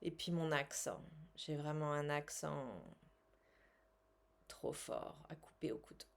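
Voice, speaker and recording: Female coach for guided tapping exercise: slightly low pitch, very slow delivery, measured instructions with pauses. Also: animated, creaky. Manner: measured, instructional, with pauses between short phrases. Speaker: tapping coach and language teacher.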